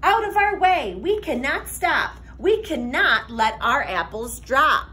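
High, bright, very animated woman reading aloud. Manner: expressive, sing-song, deliberate reading. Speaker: teacher reading aloud to children. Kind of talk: animated children's picture-book read-aloud. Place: classroom, indoors.

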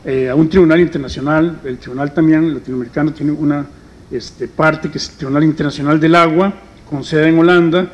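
A middle-aged man speaking on a forum panel in a conference hall, halting often with fillers. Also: a little high, steady tone, dull audio. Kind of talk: public forum talk.

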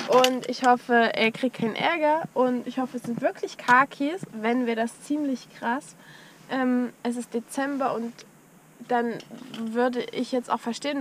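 A young woman vlogging casually from inside a van on a road trip, rambling with many fillers. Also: fairly high pitch. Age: young adult. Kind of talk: casual travel vlog narration. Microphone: action camera on the dashboard. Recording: dull lo-fi camera audio with road noise.

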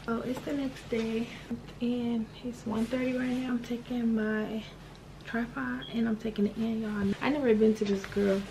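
A woman's voice: fairly high pitch, little pitch movement, normal speed. A young adult woman chatting casually to the camera as a vlogger, in informal, slangy everyday talk. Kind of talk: casual vlog chat to camera. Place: small indoor rooms and inside a car. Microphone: handheld or tripod camera at close range. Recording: noisy, with vehicle and street ambience.

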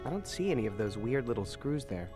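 A young man voicing a bored, sarcastic teenage boy in a video game, with a lively voice that swoops up and down. He throws off deadpan asides, and game music plays softly behind him. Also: mid-range voice, quick pace, lightly acted. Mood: wry. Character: restless teenage boy kept aboard a spaceship.

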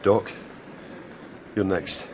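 An actor playing a grim, resolute leader of a trapped Antarctic research crew in a radio drama, terse and commanding. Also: male voice, extremely slow. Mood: grim, controlled. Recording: noisy drama soundscape, steady ambience.

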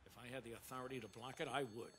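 An actor playing a grumbling, formal police official in a cartoon, gruffly spoken. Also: male voice, medium pitch, racing, close to an everyday voice. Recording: quiet, noisy cartoon playback.

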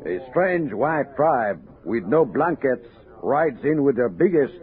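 An actor playing a mild, deferential frontier scout and interpreter, tentative and polite, in a 1960s radio drama. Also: male voice, mid-range voice, sing-song, slow, phone-quality sound. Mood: explaining. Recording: band-limited 1960s radio recording.

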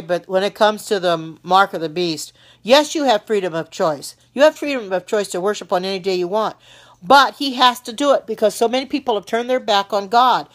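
A woman in her sixties, preaching live into a phone from a small room, earnest and pleading, with many restarts, her voice getting hoarse. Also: fairly low pitch. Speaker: lay preacher broadcasting live. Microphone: phone held below face.

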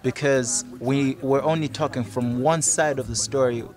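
A man's voice: mid-range voice, steady tone, moderate pace. A man in his twenties giving a reflective, explanatory account in a documentary interview as a project facilitator. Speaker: youth theatre project facilitator. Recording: music playing under the voice.

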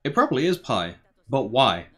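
A young man giving casual, slangy reaction commentary, musing aloud in a laid-back, tired, low-energy way. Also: sing-song, normal speed. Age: young adult. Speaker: anime reaction host. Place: small bedroom, indoors.

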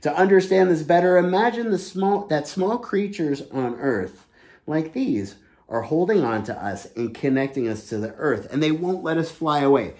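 A man's voice: on the high side, lively intonation, moderate pace, darkish tone. A man in his thirties reading a children's book aloud, explaining it simply and enthusiastically in a room at home. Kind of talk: animated read-aloud storytelling with explanations. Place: small home room indoors.

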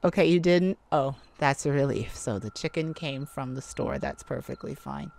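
A woman playing an excitable, affectionate mage sweetheart in a romantic ASMR roleplay, with a gushing, easily flustered, rambling manner. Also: very deep voice, normal speed, vocal fry. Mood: gently teasing.

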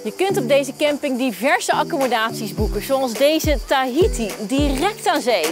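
A woman's voice: high-pitched, lively intonation, normal speed. A woman in her thirties presenting a travel show, enthusiastically showing places and holiday homes. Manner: enthusiastic, touring and pointing things out. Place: outdoors in old town streets and holiday homes. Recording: background music under the voice. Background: music.

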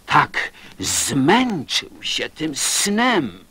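A man recites a children's poem as its narrator. His voice sits fairly high and moves at a slow pace, rising and falling in an expressive, lively way. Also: husky.

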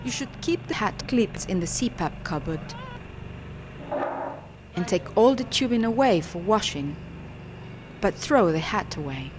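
A woman's voice: medium pitch, lively intonation, a little slow, long pauses. A woman reading scripted step-by-step instructions for a medical training film. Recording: clearly noisy background ambience.

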